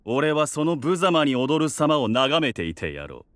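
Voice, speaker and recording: Arrogant young king, dismissive, fairly high for a man, widely varied pitch, fantasy audio drama. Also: big pitch swings, normal speed, no echo. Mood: cold disdain. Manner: haughty, dismissive.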